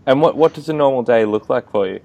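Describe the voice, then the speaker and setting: A man hosting an interview, friendly and quick to laugh, with hesitant fillers. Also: mid-range voice, gravelly, a little mellow. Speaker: podcast host, gym owner. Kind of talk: casual interview chat.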